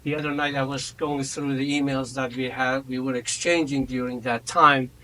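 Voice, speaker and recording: A man in his fifties, a native Kurdish speaker, chairs a memorial roundtable in a conference room. His voice sits at a middle pitch, and he speaks in a reflective, measured way, with faint noise behind him. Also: fairly even intonation, bright, forceful tone, low-quality recording. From Iran. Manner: reflective and measured, personal storytelling. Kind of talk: memorial remarks at an academic roundtable.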